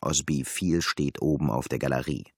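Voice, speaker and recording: A man with a gravelly voice narrating a literary audio drama. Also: very deep voice, steady tone, moderate pace, dry recording, studio quality, full character voice.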